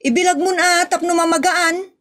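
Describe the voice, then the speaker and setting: A woman's voice: very high voice, fairly even intonation, moderate pace, a bit bright. A woman acting the part of a forgetful, busy housewife in a homemade comedy skit, exasperated and muttering to herself. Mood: flustered.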